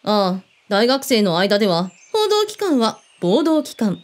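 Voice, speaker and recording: Expressive, skeptical female ex-agent voiced by a woman. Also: mid-range voice, measured pace. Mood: wry, probing. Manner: terse, mannish phrasing, probing questions. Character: shrewd, skeptical female ex-agent in a protection business. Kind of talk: Japanese radio drama acting.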